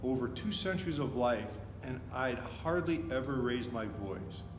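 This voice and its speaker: A man reads a children's book aloud with expression. His voice sits at a middle pitch. The recording rings with long reverb and has clear noise behind it. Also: lively intonation, measured pace. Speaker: volunteer story reader. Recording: echoey, reverberant room with noticeable noise.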